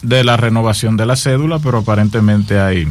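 A man's voice: fairly low pitch, fairly quick. A man with a Dominican accent co-hosting a casual talk show discussion, questioning, interjecting and challenging.

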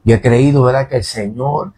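Halting pastor in his fifties. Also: mid-range voice, mellow, husky. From born in New Jersey, raised in Puerto Rico. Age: 50s. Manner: halting, fills nearly every phrase with "¿verdad?", repeats words. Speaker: pastor, radio guest. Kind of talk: radio interview, testimony. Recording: radio studio.

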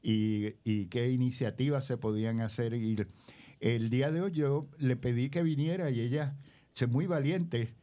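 A man's voice: medium pitch, measured pace, mellow. An older man, a community leader speaking as a radio guest in a rambling way, full of hesitations.

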